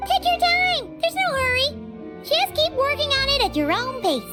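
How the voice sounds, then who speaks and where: A woman voicing a small, cheerful, childlike fairy companion in a game dub, with a squeaky, perky delivery. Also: big pitch swings, normal speed, full character voice. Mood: cheerful, encouraging. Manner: perky, refers to self in third person. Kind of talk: English anime game dub acting. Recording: loud music behind the voice.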